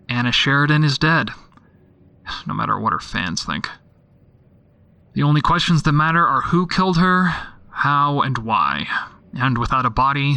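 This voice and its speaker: A sceptical, irritable homicide detective dictates his case log in an audio drama. His voice is creaky and gravelly, with a tense, forceful edge. He speaks drily and sardonically, with little patience. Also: mid-range voice. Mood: grim, resolute. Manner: dry, sardonic, deadpan.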